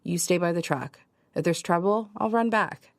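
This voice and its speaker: A woman's voice: fairly low pitch, normal speed, in a large hall, lightly acted. A woman playing a weary, determined survivor, narrating a first-person audio drama and acting out her dialogue. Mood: concerned, insistent. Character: Ava, weary, determined young woman surviving an alien invasion. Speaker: narrator and lead actor.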